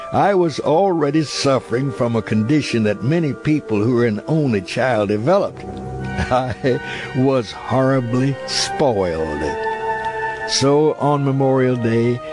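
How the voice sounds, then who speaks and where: A man reading a scripted memoir as a narrator, in a nostalgic, reflective storytelling manner. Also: medium pitch. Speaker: audiobook narrator. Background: background music.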